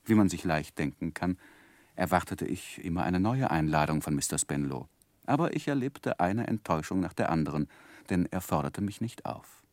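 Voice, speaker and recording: A man acting an earnest, lovestruck young gentleman who recalls his youth in formal, gently self-mocking in a 1960s radio drama. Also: low-pitched, lively intonation, normal speed, dry recording. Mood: wistful, wry. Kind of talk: literary first-person narration, 1960s German radio drama.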